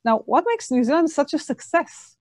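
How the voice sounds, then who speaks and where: A woman's voice: high voice, sing-song, normal speed, darkish tone, no echo. Dry-sounding hesitant female interviewer. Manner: hesitant, many fillers. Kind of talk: interview hosting.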